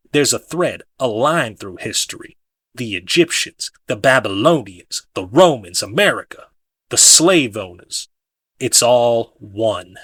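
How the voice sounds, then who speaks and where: A man's voice: mid-range voice, a bit bright, dry recording. A man voicing a fervent, folksy conspiracy-minded street preacher in an audiobook, colloquial and insistent, driving points home with emphasis and rhetorical questions. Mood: conspiratorial, urgent. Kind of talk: dramatic audiobook monologue.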